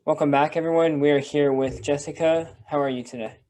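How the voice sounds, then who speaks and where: A man's voice: a little high, steady tone, brisk, over music. A young man hosting an interview series, talking in a laid-back, casual way. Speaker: interviewer and channel host. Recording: clear background noise.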